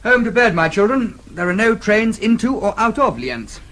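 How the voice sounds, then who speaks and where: An actor playing a brisk, avuncular Englishman who takes charge in a radio drama, with a British accent. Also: high-pitched, sing-song. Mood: brisk, emphatic. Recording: noisy radio drama with vehicle sounds.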